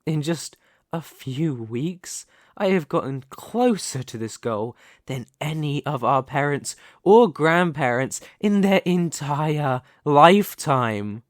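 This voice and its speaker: Man acting a slow, possessive mafia boss. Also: fairly high pitch, lively intonation, dry recording, clean studio sound. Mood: grandiose, persuasive. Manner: theatrical, deliberate, condescending, with pointed pauses. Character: possessive, ambitious young male mafia boss, coolly persuasive. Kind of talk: ASMR roleplay, dramatic monologue to the listener.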